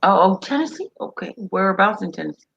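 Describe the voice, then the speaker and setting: A woman's voice: medium pitch, sing-song, fast talker, gravelly, fairly bright tone, slightly rough, muffled recording. A middle-aged woman hosting a casual livestream chat over a video call, a chatty storyteller who laughs easily. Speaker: livestream host.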